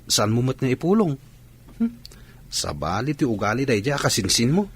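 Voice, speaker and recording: A man's voice: medium pitch, very animated, normal speed, slightly rough. A man playing an affectionate, sincere young student sweetheart with an Ilocano accent in a Philippine radio drama. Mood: tender.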